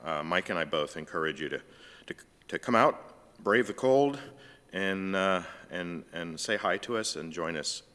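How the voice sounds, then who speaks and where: A man co-hosting a science discussion in a church hall, his voice scratchy and hoarse after an illness, talking in a rambling way with many fillers. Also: sits low, expressive, a little slow, bright, forceful tone. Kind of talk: casual hosted discussion. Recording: long reverb in a church hall.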